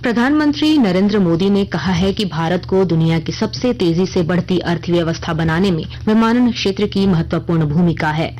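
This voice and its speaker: A woman presenting a scripted radio news bulletin in a formal, measured manner. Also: lowish voice, darkish tone, slight background noise, low-quality recording.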